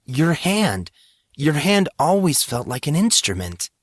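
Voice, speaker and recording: Male narrator as teenage schoolboy, earnest, deliberate, perceptive, slightly higher pitch, dry story recording. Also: sing-song, breathy edge, no room sound, heavily acted. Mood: hurt realization. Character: teenage schoolboy, perceptive, playful, hurt but kind. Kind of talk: audiobook character dialogue.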